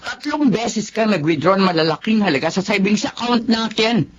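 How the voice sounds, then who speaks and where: Woman acting expressive, questioning Filipino wife, muffled. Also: medium pitch, normal speed, natural voice. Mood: upset. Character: Dina, practical, caring, questioning wife and mother. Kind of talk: Filipino radio drama acting. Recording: muffled, noisy radio drama recording.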